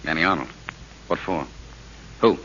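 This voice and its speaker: An actor playing a cool, loyal, tough racketeer in old radio drama, laconic and guarded, with hesitant pauses. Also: male voice, sits low, fairly bright tone. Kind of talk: 1930s radio acting. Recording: muffled old radio recording with hiss.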